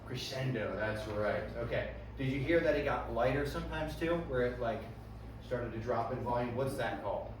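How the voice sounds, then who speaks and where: A man's voice: medium pitch, normal speed, noisy background. Man, music teacher, classroom lesson, quizzing students, distant mic, very echoey room. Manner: friendly questioning of students, frequent "right?" and "okay".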